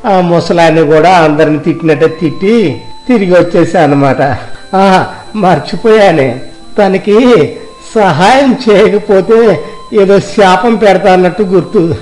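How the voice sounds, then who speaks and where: A man playing an arrogant rich village husband in a moral story, complaining and exclaiming in drawn-out phrases. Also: high voice, darkish tone, soft background music, low-quality recording. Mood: sheepish explaining. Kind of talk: acted moral-story dialogue.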